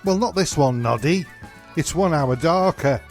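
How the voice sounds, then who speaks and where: A man playing a vain, pushy, excitable castaway eager to seem manly in a comedy audio drama. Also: fairly high pitch, unhurried, faint music behind. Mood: indignant. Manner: pushy, excitable, self-important. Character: vain, eager, preening male castaway wanting manliness.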